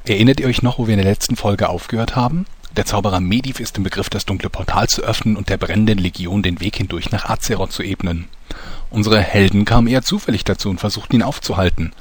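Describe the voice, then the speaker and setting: Husky, low-voiced male storyteller. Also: quick pace, no room sound. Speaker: narrator. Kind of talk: scripted audio drama narration, storyteller addressing listeners.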